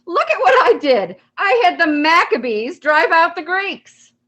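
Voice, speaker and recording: Lady doing a smug show-off holiday for community theater, high voice, really slow and deliberate. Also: sing-song, fairly bright tone, full character voice. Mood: smug boasting. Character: smug, showy winter holiday boasting of its popularity. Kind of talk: amateur reader's theater.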